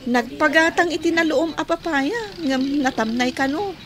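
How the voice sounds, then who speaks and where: A woman's voice: sits high, normal speed, a crowd in the background, natural voice. A woman playing an inquisitive, teasing young sweetheart in a radio drama. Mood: curious.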